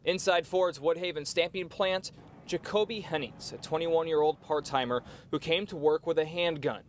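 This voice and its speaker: Guy doing a live news report outdoors, formal, bit high, faint noise. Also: moderate pace. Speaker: TV field reporter. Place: outdoors at a factory.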